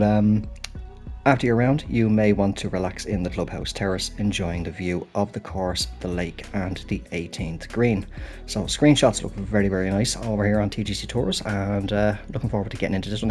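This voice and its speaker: A man's voice: fairly low pitch, darkish tone. A British man hosting a golf video game playthrough, chatty and thinking aloud, sounding a little throaty and stuffed up from a cold. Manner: chatty, apologetic, thinking aloud while playing. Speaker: gaming channel host. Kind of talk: relaxed golf game commentary.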